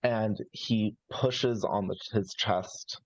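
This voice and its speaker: A man improvising story narration as a player in a live tabletop roleplaying game, with halting, searching, thoughtful phrasing. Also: fairly low pitch, fairly even intonation, highs cut off.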